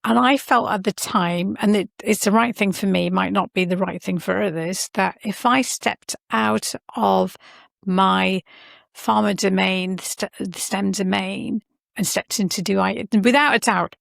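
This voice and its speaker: A middle-aged woman talks in an earnest, reflective way, often pausing to restart and correct herself mid-sentence. Her voice carries a gravelly edge of fry. The recording is dry and close, with little room sound. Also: medium pitch, steady tone, dry recording. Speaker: podcast guest, science and healthcare leader. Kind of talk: reflective interview conversation.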